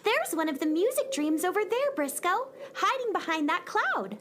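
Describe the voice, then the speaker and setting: A woman's voice: very high voice, very animated, moderate pace, music in the background. A woman playing an eager, earnest young space cowgirl in a children's radio play. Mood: determined.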